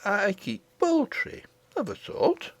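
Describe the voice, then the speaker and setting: A woman voicing a kindly, dignified old great-great-grandmother queen in a storybook audiobook, gentle, gracious and composed. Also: medium pitch, wildly swooping pitch, takes it slowly, dry recording, pitched above the performer's own voice, heavily acted. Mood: calm, mysterious.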